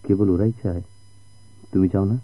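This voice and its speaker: Radio drama: devoted, gentle family friend, low and husky, Bangladeshi accent, measured formal delivery. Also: male voice, fairly even intonation. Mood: tender, restrained. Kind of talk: Bengali radio drama acting. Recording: muffled old radio drama recording.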